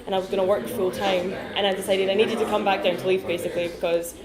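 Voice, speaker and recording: Young woman, rapid chatty delivery, echoey room, crowd and dishes behind. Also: medium pitch, flat delivery. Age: young adult. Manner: quick, chatty, frequent fillers. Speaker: interviewee. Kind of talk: casual personal chat.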